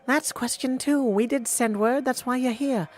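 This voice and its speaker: A man's voice: very high-pitched, moderate pace, slight background noise, pitched above the performer's own voice. A man voicing a breezy, folksy goddess of fate from a video game, chummy and offhand. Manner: offhand, chummy, calls listener sweetie. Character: breezy, folksy female Fates, casual elder goddesses. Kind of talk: video game character practice.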